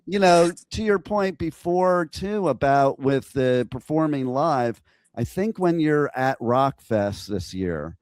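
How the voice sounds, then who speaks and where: A man hosting a radio interview, talking eagerly in quick run-on bursts and chatting casually with his guest. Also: medium pitch, a little mellow, no echo. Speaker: radio show host.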